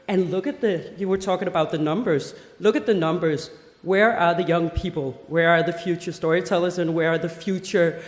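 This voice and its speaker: Woman in her 40s from denmark, lively seminar talk w/ jokes, big echoey hall, long reverb. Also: fairly low pitch. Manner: lively, joking asides and rhetorical questions. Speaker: festival head giving a seminar talk. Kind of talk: conference presentation.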